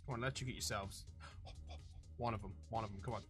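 A video game streamer giving excitable commentary, yelling and cursing at the game. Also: medium pitch, quick pace, faint music behind. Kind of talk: excitable gameplay commentary with swearing.

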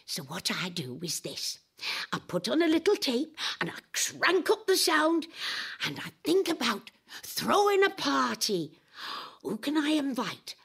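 An eccentric older lady adventurer in an audio drama, flamboyant and a bit boozy. Her husky voice sits fairly high and swoops theatrically up and down. She confides in the listener with a drawl and relishes each word. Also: a bit bright, heavily acted. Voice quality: husky, breathy.

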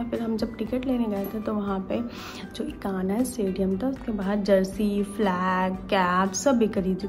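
A young woman talks to her camera in a small room at home, chatty and explaining things step by step. Her voice sits at an ordinary middle pitch. Music plays clearly underneath her. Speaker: vlogger. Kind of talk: casual explanatory vlog. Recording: music playing behind voice.

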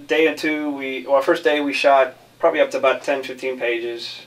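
Man chatting, evenly pitched, distant mic. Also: little pitch movement, normal speed, fairly bright tone. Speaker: film director. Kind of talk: casual interview answers.

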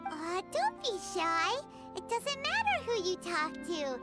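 A woman voicing a tiny, chirpy, pushy fairy girl companion in a video game, with a squeaky childlike voice and perky manner. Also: huge pitch swings, slow pace, heavily acted. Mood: encouraging. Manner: perky, refers to self in third person. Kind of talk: scripted video game voice acting. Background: music.

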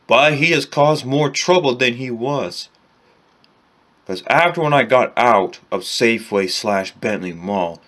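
Young male vlogger, lowish voice, unhurried delivery, close webcam in a small bedroom. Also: a bit bright. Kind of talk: casual vlog to camera.

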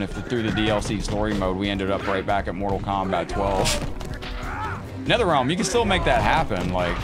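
A man with a fairly low voice hosts a live gaming stream, his throat turning scratchy and hoarse. He rambles in a loose, chatty way and greets viewers as brother. Loud game music plays behind him. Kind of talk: casual live-stream chat while gaming.

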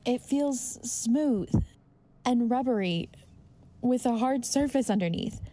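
A cautious doctor played by a woman with a fairly high voice. She sounds earnest and questioning, a serious skeptic in an old-time radio drama. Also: animated, takes it slowly, mellow. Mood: wary.

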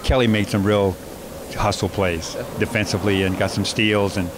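A man coaching basketball, answering postgame interview questions in a measured way with many fillers and restarts. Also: lowish voice, level tone. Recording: noisy background.

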